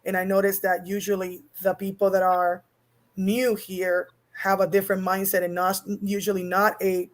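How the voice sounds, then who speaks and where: A woman's voice: medium pitch, level tone, normal speed. A woman from Puerto Rico with a Puerto Rican accent, a guest talking earnestly in long runs with restarts. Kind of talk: casual panel chat.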